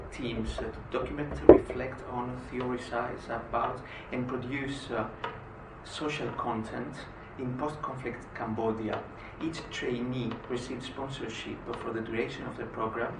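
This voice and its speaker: Man from Greece, conference talk, halting speech with many uh's, distant mic, roomy hall, noisy background. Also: mid-range voice. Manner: frequent "uh" hesitations. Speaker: conference speaker.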